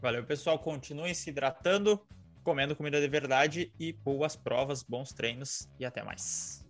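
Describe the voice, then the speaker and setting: A man, co-hosting a podcast in casual chat and reading a quote slowly and deliberately. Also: mid-range voice, soft background music. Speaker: podcast co-host.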